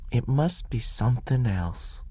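A man reading aloud and voicing a firm but kindly middle-aged woman country doctor who speaks slowly and insists gently. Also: medium pitch, lively intonation, breathy edge, no echo. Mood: gentle.

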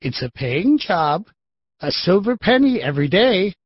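A man playing a gentle, eager, bumbling novice magician, earnest and friendly, in a children's audiobook. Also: sits high, sing-song, a little slow, husky, no echo, heavily acted. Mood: gentle, hopeful. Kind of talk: children's full-cast audiobook acting. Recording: dry, slightly dull audio.

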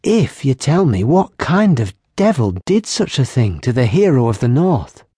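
A man reading an audiobook, voicing a lively young woman who works as a barmaid and healer and talks in a brisk, teasing, scolding way. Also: mid-range voice, breathy edge, dry recording, studio quality, pitched above the performer's own voice.

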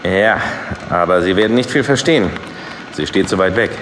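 A man's voice: low voice, moderate pace, a bit bright, slight background noise. An actor playing a puzzled, compliant man at surveillance monitors in an audio drama. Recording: music behind the voice.

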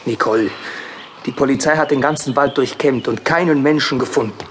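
A man's voice: medium pitch, brisk, a little hiss or hum, natural voice. An actor playing an impatient, skeptical young man in a German horror audio drama, brusque and urgent. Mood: impatient, dismissive.

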